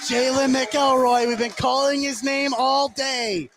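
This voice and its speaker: A man's voice: extremely high pitch, little pitch movement, measured pace, a bit bright, soft background music. A man doing live play-by-play basketball commentary as a radio announcer. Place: indoor gymnasium, courtside. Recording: clear background noise from a gym.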